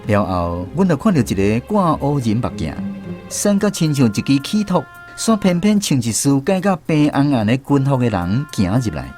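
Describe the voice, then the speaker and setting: A man's voice: mid-range voice, darkish tone. A man with a Taiwanese accent narrating a scripted first-person story for a radio drama. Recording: music behind the voice. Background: music.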